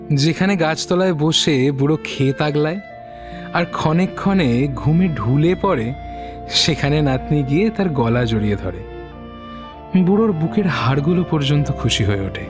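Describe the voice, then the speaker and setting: Slightly high-voiced male story narrator. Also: animated, measured pace, music in the background.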